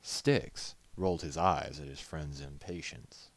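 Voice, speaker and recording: A man's voice: rumbling low, takes it slowly, no room sound. A man reading a story aloud as a narrator, in scripted storybook style.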